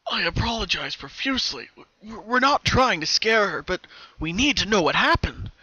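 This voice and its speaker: A man voicing a polite police colt, formal and apologetic, with a measured, reassuring manner. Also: sits high, very animated, pushed and bright, breathy edge, dry recording, dull audio, voice pushed up, full character voice. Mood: apologetic, insistent. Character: reassuring official police colt, polite young male pony.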